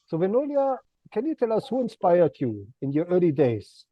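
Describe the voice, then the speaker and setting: A man's voice: sits high, huge pitch swings, moderate pace, lo-fi sound. A male host politely asking a guest about her background and career. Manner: polite, encouraging questioner. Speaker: interview host. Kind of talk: interview questions.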